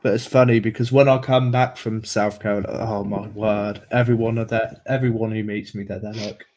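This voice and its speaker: A man's voice: on the low side, flat delivery, brisk, darkish tone. Man with a mixed South African-English accent. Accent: mixed South African, English, American and Irish. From South Africa, living in England. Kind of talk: casual livestream chat.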